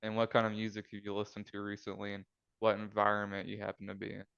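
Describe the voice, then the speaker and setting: Chill young guy cohosting, teasing his friend, low smooth voice, kinda dull sound. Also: monotone, fast, a bit bright, no room sound. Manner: teasing, laid-back. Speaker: podcast co-host. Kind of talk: casual chat.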